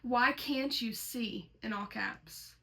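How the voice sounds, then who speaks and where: A woman's voice: on the high side, slow, vocal fry, sharp tone. A woman reading a horror story aloud as host, slipping between dramatic reading and casual commentary.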